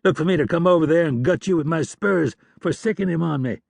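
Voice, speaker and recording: A man's voice: a little high, a little fast, vocal fry, darkish tone, no echo, pitched above the performer's own voice. Mean gunslinger calling out, raised and scornful, man doing the voice, dry close sound. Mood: menacing. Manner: threatening, scornful. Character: hard, menacing male gunslinger outlaw.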